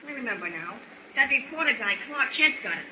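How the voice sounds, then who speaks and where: A man's voice: very high-pitched, big pitch swings, a little fast, slight background noise. A male actor playing a nervous, defensive underling with uneducated speech in a 1940s-style radio serial. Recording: muffled, echoey, distant old radio recording.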